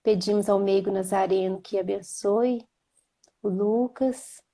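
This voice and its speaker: Mellow-voiced woman from Minas Gerais. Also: mid-range voice. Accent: Minas Gerais Portuguese. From Minas Gerais, Brazil. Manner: slow, gentle and devout when praying. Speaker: host. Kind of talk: casual chat and spoken prayer on a live video call. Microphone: video call.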